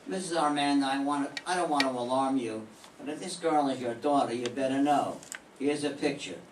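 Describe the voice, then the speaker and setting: A man's voice: mid-range voice, moderate pace, lightly acted. An older man playing a blunt, persistent private detective in a radio drama, questioning in a clipped way. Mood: probing. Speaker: amateur radio drama performer. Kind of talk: live reading of a 1940s radio detective drama. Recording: echoey room, distant mic, faint noise.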